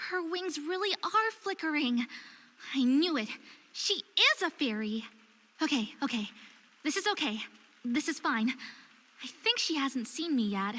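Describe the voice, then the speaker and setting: A woman's voice: very high voice, sharp tone, long reverb tail, exaggerated voice. A woman playing an earnest, stubborn and curious young woman of faerie descent in a visual novel game. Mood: excited, nervous. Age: young adult. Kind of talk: visual novel voice acting with inner monologue.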